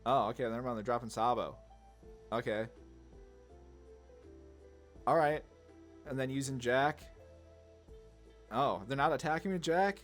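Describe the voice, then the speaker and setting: A man's voice: medium pitch, measured pace, long pauses. A man giving casual gameplay commentary, thinking aloud in a laid-back, slangy way. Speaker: card game video host. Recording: music under the voice. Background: music.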